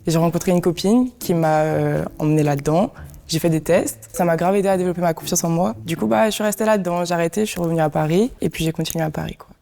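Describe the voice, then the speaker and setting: A woman's voice: fairly low pitch, quick pace, gravelly, mellow. A woman in her early twenties, a guest with breezy, casual slang. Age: 20s.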